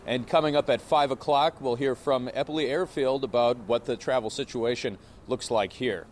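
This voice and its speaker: A man's voice: mid-range voice, moderate pace. An American man reporting live for TV news from an airport. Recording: clear outdoor traffic-like background noise.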